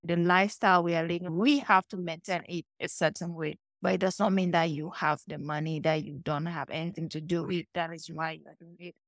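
A middle-aged woman from Cameroon with a Cameroonian accent, talking as an interview guest and telling stories with animated acted-out dialogue. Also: fairly low pitch, a little fast, hardly any pauses, no room sound, studio quality. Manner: animated storytelling, acts out quoted dialogue. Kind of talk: conversational interview.

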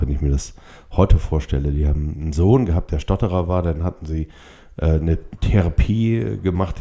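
A middle-aged or older man hosting a solo podcast, speaking reflectively and haltingly, with short blocks and pauses from a stutter. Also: low-pitched, creaky, dark tone. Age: 50s or older. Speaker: podcast host.

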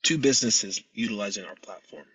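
A man from the United States, a startup founder answering interview questions in a measured, steady way with occasional pauses. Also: on the low side, slightly rough, no room sound, recorded from a distance, lo-fi sound.

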